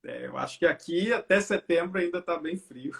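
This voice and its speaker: A man's voice: on the high side, animated, moderate pace, gravelly, a little hiss or hum. A man from Belo Horizonte, Brazil, hosting a casual live video chat from home, talking in a loose, chatty way. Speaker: live stream host. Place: at home by a window. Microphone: video call.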